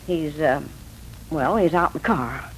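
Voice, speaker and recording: An American actress playing a kindly, gently persuasive adoption worker in a 1940s radio drama. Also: female voice, sits low, measured pace, low-quality recording. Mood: gentle. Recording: old radio recording, faint noise.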